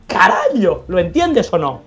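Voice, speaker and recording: A man's voice: high-pitched, huge pitch swings, a little slow, fairly bright tone, slightly rough, soft background music. A man with an accent from Spain doing a phone prank on a livestream, talking in an insistent, domineering way and swearing. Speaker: prank caller.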